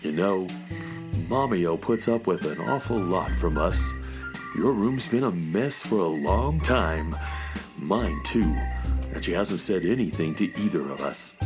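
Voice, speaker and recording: Man as a very deep, laid-back beatnik cat dad. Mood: easygoing. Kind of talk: children's radio theater acting.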